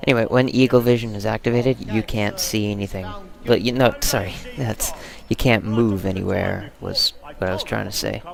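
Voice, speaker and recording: A man's voice: on the low side, fairly even intonation, moderate pace, vocal fry, hardly any pauses. A man giving casual, meandering video game commentary with playful asides. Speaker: gaming channel host.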